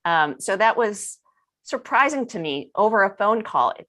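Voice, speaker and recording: A woman moderating a panel discussion, speaking in a measured, reflective way. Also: mid-range voice, very animated, bright, forceful tone.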